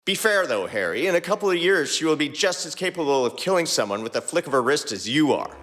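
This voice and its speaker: A man's voice: big pitch swings, quick pace, a bit bright. A man reading the dialogue of a fan fiction aloud, shifting his voice between characters. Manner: voices several characters by shifting pitch and pace. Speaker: podcast co-host reading fanfiction.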